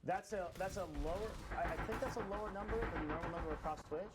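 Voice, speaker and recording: A young man livestreamer chatting casually in an excitable, halting way, often repeating his words. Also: high-pitched. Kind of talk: casual chat on a game stream. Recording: clear gunfire and game noise. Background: video game gunfire.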